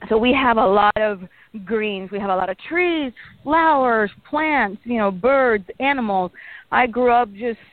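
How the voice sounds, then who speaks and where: A woman's voice: a little high, expressive, a little slow, dry recording, narrow, tinny audio. A woman in her thirties from Panama with a Panamanian Spanish accent, a native Spanish speaker chatting as a guest in a radio interview. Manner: friendly, chatty, bubbly. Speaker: guest, meteorologist.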